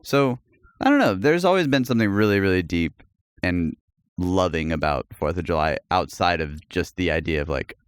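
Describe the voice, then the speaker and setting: A man co-hosting a podcast, chatting in a relaxed, rambling way and laughing often. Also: sits low, normal speed, smooth, dry recording, studio quality. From United States. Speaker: podcast co-host. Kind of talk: casual chat.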